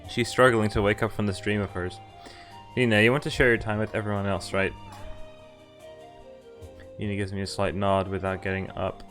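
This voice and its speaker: Low-voiced man reading aloud over music. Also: flat delivery, normal speed, long pauses, a bit bright. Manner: stumbles over read lines and corrects himself. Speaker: streamer reading a visual novel aloud. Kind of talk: casual read-aloud with chat asides. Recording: music playing behind the voice. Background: background music from the game.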